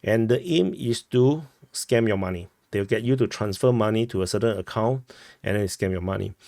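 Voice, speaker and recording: A man with a Singaporean accent giving informal news commentary as a host, halting and often rephrasing himself. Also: fairly low pitch, gravelly, a little mellow, no echo, studio quality. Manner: halting, repeats and rephrases phrases.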